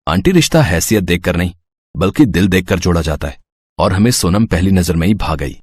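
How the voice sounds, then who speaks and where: A man acting a husky, low young man. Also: animated, brisk, no echo. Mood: sincere. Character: young man of a family, husband or suitor. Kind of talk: acted story dialogue.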